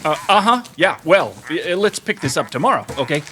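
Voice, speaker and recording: An actor playing a nervous, earnest, overeager small-business marketer in an audio drama, hesitant, pleading and prone to stammering. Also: male voice, a little high, big pitch swings, fairly quick, a bit bright. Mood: anxious, placating.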